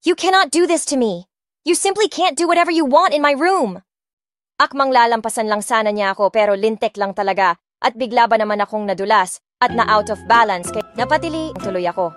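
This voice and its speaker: A woman's voice: a little high, fairly quick, fairly bright tone, no room sound, studio quality, soft background music. A woman from the Philippines reading a first-person romance audiobook, dramatic and indignant, switching between Tagalog and English. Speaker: audiobook narrator.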